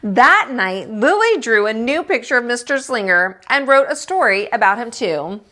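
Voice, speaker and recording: Animated higher-voiced woman reading a storybook. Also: moderate pace. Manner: expressive, with dramatic emphasis and asides to listeners. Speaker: teacher reading a picture book aloud. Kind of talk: animated storytime narration for children.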